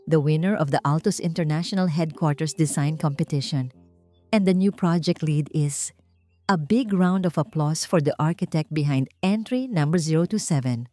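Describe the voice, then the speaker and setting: A woman's voice: sits low, moderate pace, mellow, no echo, lightly acted. Formal corporate announcer, performed by a woman narrator, ceremonious delivery, romance audio story, faint music bed. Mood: formal, building suspense. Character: formal company announcer addressing assembled staff. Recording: music under the voice.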